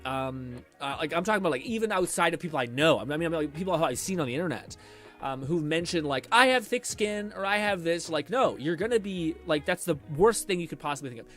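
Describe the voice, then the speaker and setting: A man's voice: fairly high pitch, expressive, quick pace, a bit bright, soft background music. A man chatting casually on a live stream, rambling with lots of fillers and reading viewer messages aloud. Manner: rambling with many fillers, reads chat aloud.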